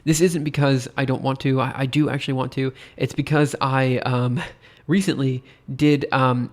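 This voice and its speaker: A tired man with a stuffy nose thinks aloud while live coding on a stream, rambling hesitantly and poking fun at himself. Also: mid-range voice. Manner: rambling, hesitant, self-deprecating.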